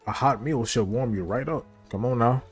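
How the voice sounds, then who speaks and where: A man's voice: medium pitch, normal speed, slightly rough. An American man streaming a casual, slangy reaction to a game story, with a slightly stuffy nose. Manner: slangy, informal, hyped asides. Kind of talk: casual livestream reaction commentary. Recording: game music behind the voice.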